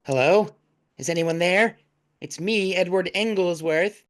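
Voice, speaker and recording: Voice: medium pitch, unhurried, background noise, lightly acted. An actor playing a self-absorbed, fussy visitor in a comic audio play, talking in a wordy, complaining way. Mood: impatient, pleading.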